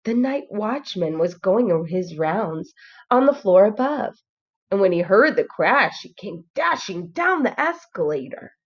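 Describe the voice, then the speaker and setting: A woman reads a picture book to young children at an unhurried pace. She is expressive, like a teacher addressing a class. The sound is slightly dull and lo-fi. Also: medium pitch. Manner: expressive, pauses to ask listeners questions. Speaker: kindergarten teacher. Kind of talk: children's storybook read-aloud.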